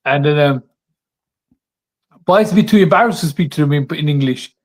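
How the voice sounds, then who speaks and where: A man's voice: a little high, a little fast. A man from the UK hosting a video-call interview, asking curious questions and giving short affirmations. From United Kingdom. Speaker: host. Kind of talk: casual interview chat. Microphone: remote video call.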